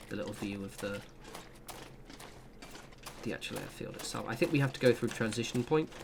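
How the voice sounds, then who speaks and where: A man's voice: fairly low pitch, creaky, background noise. A young man giving a casual, rambling gameplay guide with self-deprecating asides. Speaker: gaming channel host.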